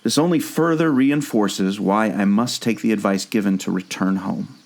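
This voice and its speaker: Casting: earnest jungle-guide turned amateur detective , played by a man, slightly deep voice, storytelling delivery for radio theater. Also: normal speed, gravelly, darkish tone. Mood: reading aloud. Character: earnest, obsessive amateur sleuth, male former jungle skipper. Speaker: podcast co-host. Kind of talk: scripted audio drama dialogue.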